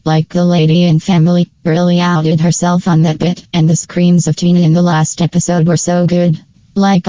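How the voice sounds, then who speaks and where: A woman narrates a casual review in a flat, dark-toned voice, recorded very dry and close. Her delivery is scripted but informal, like an opinionated fan ranking favourites. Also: on the low side, dry recording, rough recording. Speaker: video creator and narrator. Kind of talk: casual scripted tier-list review commentary.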